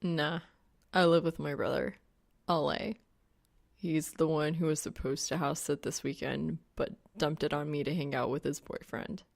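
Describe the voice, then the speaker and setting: Wry young male gamer, audiobook fiction, played by a woman, lowish fry-laden voice, slangy and deadpan. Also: moderate pace. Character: young man gamer, wry, exasperated, awkward crush.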